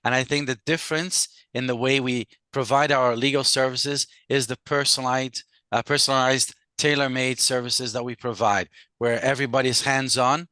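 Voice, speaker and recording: A middle-aged man from Brazil with a slight Scottish accent, a lawyer speaking as a guest in a casual interview, measured and pausing often. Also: mid-range voice, steady tone, pushed and bright, clear, resonant tone, dry recording. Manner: measured, with frequent "uh" pauses.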